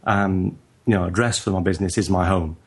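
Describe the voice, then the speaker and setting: A man with a northern English accent and a fairly low voice talks through a casual interview. He speaks in a halting, reflective way and often restarts his sentences. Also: fairly even intonation, husky. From northern England. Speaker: guest photographer.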